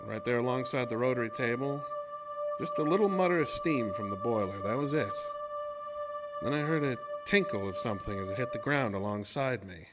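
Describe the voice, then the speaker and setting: Guy playing a plain-talking roughneck telling a spooky story, old radio horror style, music under him. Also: medium pitch, expressive, a little fast, lightly acted. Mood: uneasy, haunted. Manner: colloquial, folksy, haunted storyteller. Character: rough-spoken oil rig worker telling his own eerie tale.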